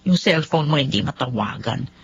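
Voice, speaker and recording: A woman's voice: extremely low pitch, expressive, moderate pace, husky, exaggerated voice. A woman playing a frank, nosy and protective friend in a Filipino radio drama. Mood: concerned. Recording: muffled, faintly noisy audio.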